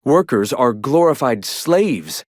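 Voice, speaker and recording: An actor playing a zealous philosopher who leads an anti-corporate rebel sect in a video game, speaking in lofty, measured, formal oratory. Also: male voice, mid-range voice, very animated, darkish tone, dry recording, clean studio sound. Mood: lofty, earnest. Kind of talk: video game character dialogue.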